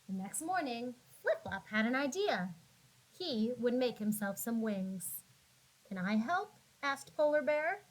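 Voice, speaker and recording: A woman with a fairly high voice reads a picture book aloud for young children. She goes very slowly, stretching words out and giving the narration dramatic, teacherly expression. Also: animated, poor audio. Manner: dramatic, drawn-out words and sound effects for young listeners. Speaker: classroom teacher reading aloud.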